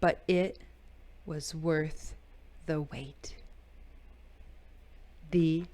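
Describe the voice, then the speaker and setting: A woman's voice: low-pitched, very slow. A woman hosting library storytime, reading a picture book expressively for children and adding chatty asides. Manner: animated, exclaiming, dramatic emphasis for children.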